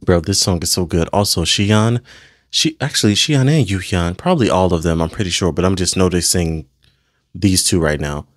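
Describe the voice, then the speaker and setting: A man giving casual, gushing reaction commentary in slangy, excited language as he hosts a video. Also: deep voice, normal speed, no room sound, clean studio sound.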